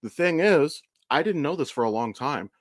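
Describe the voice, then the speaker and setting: A man's voice: a little high, expressive, normal speed, no room sound, studio quality. An American man, maybe in his thirties, co-hosting a podcast in a rambling, informal, sweary way with frequent self-corrections. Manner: profane, informal, stop-start with self-corrections. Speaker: podcast co-host. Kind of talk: casual rambling podcast chat.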